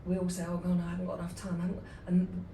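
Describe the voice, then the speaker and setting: A woman with a somewhat low voice talks in a calm, measured, reflective way during a casual podcast chat. She sits a little away from the mic, in a roomy space with faint noise. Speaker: podcast co-host. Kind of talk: casual conversation. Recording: echoey room, slightly far from mic.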